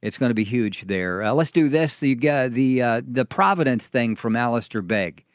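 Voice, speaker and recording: A man's voice: mid-range voice, lively intonation, moderate pace, dry recording, clean studio sound. A man hosting a radio talk show, chatting in an upbeat, exclamatory way with his co-host and guest. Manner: enthusiastic, exclamatory. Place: radio studio.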